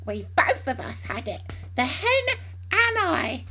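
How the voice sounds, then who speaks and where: A woman voicing a loud, boastful old grandmother with a shrill, cackling shout, in a storybook reading. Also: wildly swooping pitch, a little slow, heavily acted. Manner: shouting, crowing.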